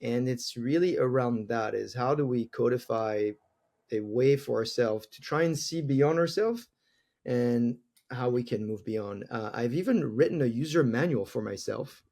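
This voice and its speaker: Male interview guest, 40s, French accent, dark gravelly timbre, reflective. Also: medium pitch, measured pace. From France. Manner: earnest, reflective, laughs easily. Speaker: guest, tech executive. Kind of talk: conversational interview answers.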